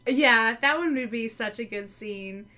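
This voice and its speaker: A woman with a somewhat high voice co-hosting a relaxed podcast. She rambles in a loose, easygoing way, full of fillers, and giggles between thoughts. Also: unhurried. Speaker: podcast co-host. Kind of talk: casual book-club chat.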